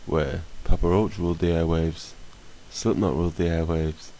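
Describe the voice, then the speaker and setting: Low, flat-voiced man with a cold. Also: normal speed, low-quality recording. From England. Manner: dry, flippant, offhand. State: has a cold, nasal. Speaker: podcast co-host. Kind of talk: casual chat. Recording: faint hiss.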